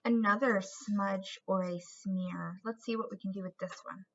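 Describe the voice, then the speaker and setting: A woman's voice: mid-range voice, steady tone, a little slow, a bit bright, lo-fi sound, slight background noise. A woman presenting an instructional craft lesson for children as an art teacher, in an encouraging way with questions to young viewers.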